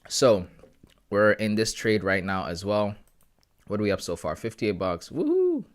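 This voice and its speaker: A man's voice: lowish voice, sing-song, a little slow. A man in his thirties chatting casually with viewers on a live trading stream, slangy and laughing often. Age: 30s. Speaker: livestreaming forex trader.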